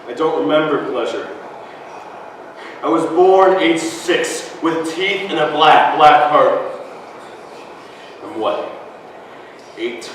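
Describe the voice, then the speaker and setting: An actor playing a frightened, angry boy in a stage monologue, moving from haunted murmurs to frantic shouting and swearing. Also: fairly high pitch, lively intonation, takes it slowly, long pauses, slight background noise. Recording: echoey hall from far off.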